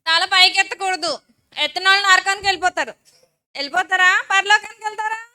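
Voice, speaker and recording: A woman's voice: very high-pitched, quick pace, sharp tone. A woman, a Sunday school teacher instructing and leading children firmly in short phrases.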